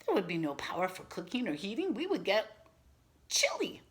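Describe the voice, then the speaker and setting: A woman reading a picture book aloud to children as a teacher, expressively and patiently, pausing to explain and ask questions. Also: mid-range voice, huge pitch swings. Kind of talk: animated read-aloud with simple explanatory asides.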